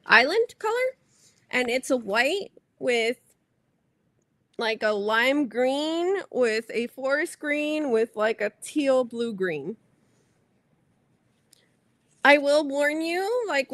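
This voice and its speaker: Chatty bright-voiced woman crochet host. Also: fairly high pitch, no room sound. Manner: chatty, rambling, self-correcting. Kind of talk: casual livestream chat.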